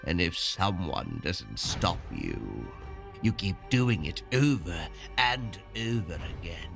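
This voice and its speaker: A sadistic male killer in a horror audio drama, speaking very slowly with a gravelly edge. He taunts his captives with swooping, unhurried menace, over faint music. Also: low voice, sing-song, full character voice. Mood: mocking. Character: sadistic male serial killer who collects victims as art.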